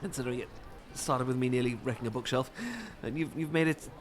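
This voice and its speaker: A man's voice: mid-range voice, fast, a little hiss or hum, close to an everyday voice. A man with a British accent playing a flustered, bashful, adorkable romantic who stammers and rambles apologetically in an intimate ASMR roleplay. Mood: hesitant, hopeful. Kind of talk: intimate ASMR roleplay acting, addressed to listener.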